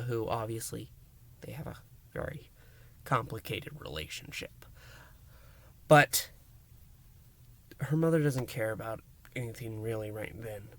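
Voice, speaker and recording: Guy doing a dramatic recap review, really slow delivery, big pauses, punches words then drops them hushed. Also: mid-range voice. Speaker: podcast review host.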